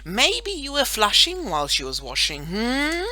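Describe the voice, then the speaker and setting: A woman's voice: fairly high pitch, wildly swooping pitch, unhurried, bright, forceful tone, no room sound, voice pushed up, exaggerated voice. A woman voicing a bossy young schoolgirl playing lawyer in a children's story, probing and theatrical. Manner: probing, theatrical, self-important.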